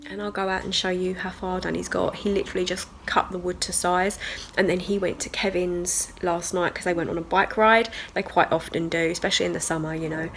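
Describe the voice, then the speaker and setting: A woman's voice: on the low side, flat delivery, a little fast, over music. A woman, chatting casually in a video diary at home with a stuffy nose from a cold, rambling with lots of "like" and "so yeah". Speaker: vlogger. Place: at home and in the garden.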